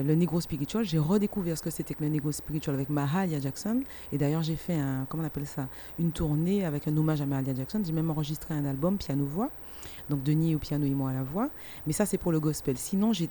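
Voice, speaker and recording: A woman's voice: sits low, dark tone, slight background noise. A middle-aged woman with a Martinican French accent, speaking casually and emphatically as she tells her own story as an interviewed artist. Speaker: singer and musician interviewed as guest.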